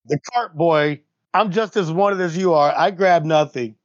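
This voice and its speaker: A man's voice: fairly high pitch, unhurried, dry recording. A man in a casual tabletop roleplaying game with a dry, grumbling delivery, on a slightly muffled close mic.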